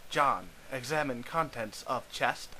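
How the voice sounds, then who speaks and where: A man's voice: medium pitch, a little slow, bright, forceful tone, slight background noise. A man voicing a terse commander who issues clipped orders in a dramatised web comic reading. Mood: curt, commanding. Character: terse commanding voice issuing text-game orders.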